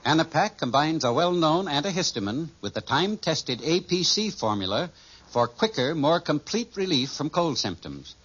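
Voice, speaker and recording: A man's voice: fairly high pitch, big pitch swings, moderate pace, a bit bright, slightly rough. A man reading a 1940s radio advertisement as a sponsor's announcer, with formal salesmanship. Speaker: sponsor announcer for a druggist chain. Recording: muffled old radio recording.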